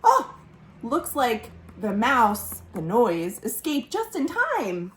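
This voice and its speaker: A woman reading a picture book aloud for young children as a storytime host, animated, with questions to listeners and dramatic pauses. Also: fairly high pitch. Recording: roomy, echoing indoor sound.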